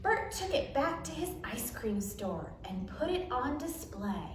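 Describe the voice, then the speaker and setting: A woman reading a picture book to children as a story time host, expressive, with dramatic emphasis and pauses. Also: high-pitched. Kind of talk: animated picture-book read-aloud for children. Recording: echoey room, distant mic, light noise.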